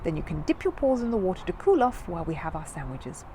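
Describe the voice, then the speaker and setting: A woman voicing a kindly, courteous older gentleman shopkeeper in a children's storybook. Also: on the low side, very animated, fast, slight background noise.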